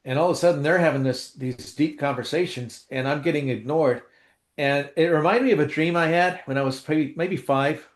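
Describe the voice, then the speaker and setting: A man's voice: on the high side, brisk, reverberant. A man co-hosting a casual chat, telling rambling, self-deprecating stories with lots of restarts. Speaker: co-host.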